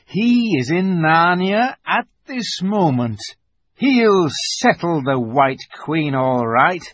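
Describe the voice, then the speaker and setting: A man voicing a grave, kindly old rustic beaver in a storybook, speaking slowly and emphatically in a folksy, knowing way. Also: a little high, big pitch swings, a bit bright, no room sound, lo-fi sound, pitched above the performer's own voice, exaggerated voice. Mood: awed. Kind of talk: dramatized storybook reading.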